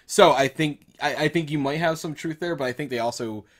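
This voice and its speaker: A man's voice: on the high side, brisk, a bit bright. A man on a gaming show panel, thinking aloud as he explains things in casual discussion. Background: music behind the voice.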